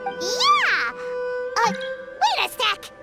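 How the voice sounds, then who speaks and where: A woman voicing a tiny, excitable girl fairy companion in a video game, with a squeaky, childlike, bubbly voice. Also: very slow, exaggerated voice. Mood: startled. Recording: loud game music behind voice.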